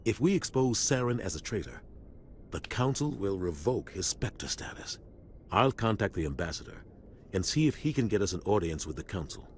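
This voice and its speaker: A man playing a stern but supportive older starship captain in a video game, speaking with grave, measured authority. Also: fairly low pitch, very animated, a little hiss or hum. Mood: urgent, resolute. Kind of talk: video game dialogue acting.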